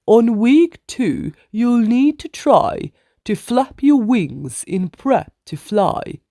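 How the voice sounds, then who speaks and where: A wise old mother-bird healer from a storybook, acted out by a woman. She booms out firm, encouraging instructions very slowly and deliberately, with lively rises and falls and a soft-edged, mellow tone. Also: no echo, studio quality. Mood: instructive, encouraging. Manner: booming, instructive, measured.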